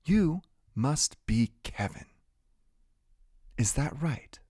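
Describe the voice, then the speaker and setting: A man voicing a new woman teacher who speaks politely but sounds unsure and slow. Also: fairly high pitch, wildly swooping pitch, voice pushed up, heavily acted. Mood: flustered.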